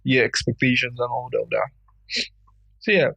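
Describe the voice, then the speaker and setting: A man's voice: medium pitch, steady tone, normal speed, gravelly, sharp tone, no room sound, dull audio. A young Nigerian man with a Nigerian English accent, co-hosting a podcast in casual, boastful, teasing banter. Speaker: podcast co-host.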